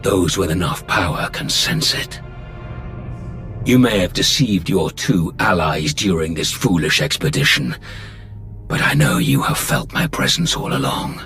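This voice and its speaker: An actor playing a menacing male Sith lord with a deep gravelly voice, speaking in a measured, ominous way over game music. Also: fairly bright tone, heavily acted. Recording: game soundtrack under voice.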